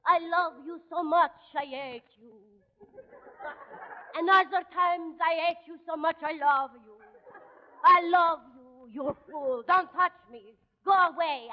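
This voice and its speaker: An actress playing a vain, melodramatic French femme fatale with a heavy accent and overwrought, broken English in a 1940s radio comedy. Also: female voice, high voice. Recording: muffled old radio broadcast, reverberant hall.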